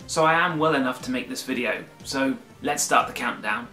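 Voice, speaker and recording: A man vlogging to his viewers, earnest and emphatic, his voice still slightly croaky after flu. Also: medium pitch, moderate pace, sharp tone, roomy sound, faint music behind. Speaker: YouTuber. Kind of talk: casual vlog monologue.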